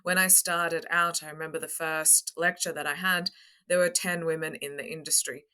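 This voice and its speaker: A young Australian woman answering interview questions as a guest, articulate and emphatic, with measured phrasing. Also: low-pitched, flat delivery, pushed and bright. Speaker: podcast guest, construction coach. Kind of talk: interview talk.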